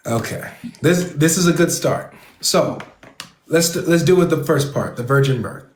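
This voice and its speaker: A man's voice: fairly high pitch, measured pace, echoey room, a little hiss or hum. A man hosting an online debate panel who presses his point with repeated, probing questions. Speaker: debate host.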